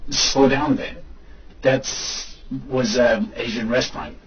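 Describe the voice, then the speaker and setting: Man playing tech-savvy male friend, audio drama dialogue, muffled sound, clear background noise. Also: medium pitch, steady tone, takes it slowly, close to an everyday voice. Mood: explaining. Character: tech-savvy buddy, a man riding along. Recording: dull, distant, noisy recording.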